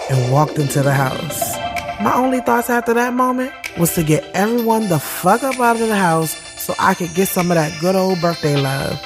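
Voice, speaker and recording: A woman's voice: on the low side, lightly acted. A woman playing a sassy, candid thirty-year-old who narrates her love story in an audio drama, frank and slangy. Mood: confiding, smitten. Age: 30s. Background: loud music.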